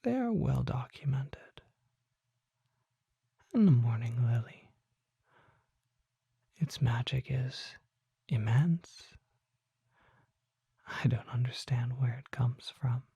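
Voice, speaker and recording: An ASMR performer voicing a mysterious, learned vampire apothecary in a breathy, husky whisper, speaking formally in slow, deliberate phrases with measured pauses. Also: medium pitch, animated, mellow, dry recording, full character voice. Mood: hushed, mysterious. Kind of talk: whispered ASMR roleplay, slow scripted monologue. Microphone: close microphone.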